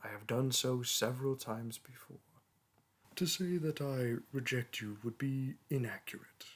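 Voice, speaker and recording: Male actor as ancient dragon teacher, haughty, amorous, archaic formal diction, romantic roleplay, mid-range pitch. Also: unhurried, husky. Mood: haughty, tender. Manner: grandiloquent, archaic, formal phrasing.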